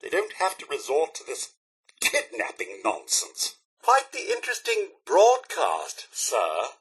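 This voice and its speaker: A man voicing a prim, loyal robot butler in a video game, with a British accent and a formal, deferential, theatrically expressive manner. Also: on the high side, unhurried, husky, exaggerated voice, poor audio. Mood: impressed. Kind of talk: video game character dialogue.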